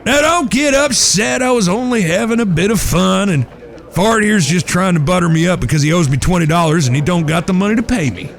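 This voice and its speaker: A man's voice: sits high, lively intonation, fairly quick, gravelly. An actor playing a wry eldest cowboy brother in a Western audio drama, folksy and mock-formal in his talk. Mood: wry, teasing.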